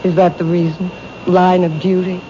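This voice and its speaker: An actress playing a reserved woman who owns a bookshop in a 1970s radio drama, quiet and polite. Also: fairly low pitch, level tone, moderate pace, lightly acted. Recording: dull old radio broadcast with hiss.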